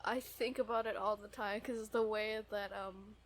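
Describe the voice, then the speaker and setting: A woman in her twenties chatting casually as a podcast co-host, gushing, excitable and quick to swear. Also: mid-range voice. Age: 20s. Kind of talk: casual fan podcast chat.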